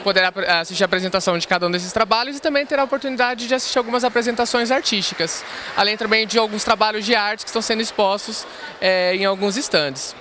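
A man's voice: high-pitched, fast talker, bright, forceful tone, a little hiss or hum. A man in his twenties with a Brazilian accent, explaining an event informally and hesitantly into a handheld microphone. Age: 20s. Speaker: fair organiser interviewee. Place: large indoor fair. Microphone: interviewer's handheld microphone. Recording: crowded hall chatter behind.

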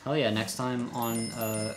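A man's voice: medium pitch, flat delivery, measured pace, a little hiss or hum. A young man co-commentating on a video game in casual chat, explaining things in a hesitant, rambling way. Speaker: let's play co-commentator. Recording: game music behind the voice. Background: video game music.

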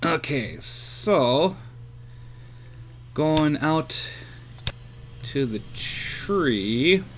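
A man's voice: fairly high pitch, expressive, very slow, long pauses, slight background noise. A man casually showing off his radio antenna setup, rambling with lots of fillers, filmed on a handheld camera outdoors and then at a computer. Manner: rambling, hesitant with many fillers. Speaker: hobbyist vlogger. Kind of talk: casual show-and-tell.

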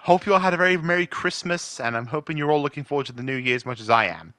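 A British man talks casually to his followers with a bright, forward voice. The sound is close and dry, and his delivery is chatty and halting, with an apologetic streak. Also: fairly high pitch, animated, dry recording. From Britain. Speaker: amateur voice impressionist.

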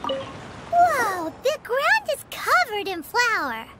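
A woman voicing a tiny childlike fairy companion in a video game, squeaky, chirpy and curious, with exaggerated expressive reactions. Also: extremely slow, heavy background noise, exaggerated voice. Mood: puzzled, curious. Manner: refers to self in third person, exaggerated expressive reactions. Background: background music.

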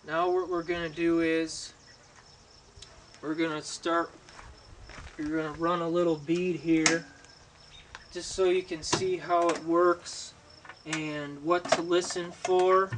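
A young man explaining welding safety and technique outdoors by a garage, pausing often with ums and restarts, recorded on a camera mic from a few steps away. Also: fairly high pitch. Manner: halting, many ums and restarts. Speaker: welding instructor. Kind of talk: casual how-to demonstration. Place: outdoors at an open garage door. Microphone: camera mic from a distance. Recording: faint outdoor insect noise. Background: crickets and insects outdoors.

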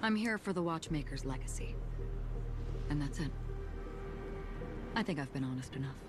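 A calm, enigmatic wandering swordswoman in a video game. Her voice is low for a woman, with solemn, deliberate phrasing. Music is clearly heard behind her. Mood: solemn, composed. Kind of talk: scripted game dialogue. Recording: game dialogue over background music.

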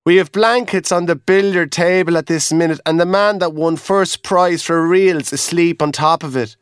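A man's voice: on the high side, normal speed, clean tone, no room sound, clean studio sound, pitched above the performer's own voice, close to an everyday voice. A man voicing a polite, earnest young hotel boy. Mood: weary. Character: polite young Irish hotel boy, weary yet helpful.

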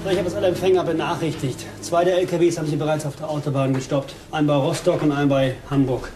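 A man plays an eager junior policeman in a radio crime drama. He is slightly nervous, with a higher voice and a quick pace, and music clearly behind him. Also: steady tone, close to an everyday voice. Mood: businesslike.

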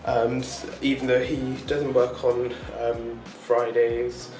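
A man's voice: medium pitch, level tone, takes it slowly, darkish tone, reverberant, far from the mic, faint music behind, low-quality recording. A man talking casually to his vlog audience, rambling and chatty with lots of ums. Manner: rambling, chatty, with many fillers and short laughs. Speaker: vlogger. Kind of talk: casual daily vlog chat.

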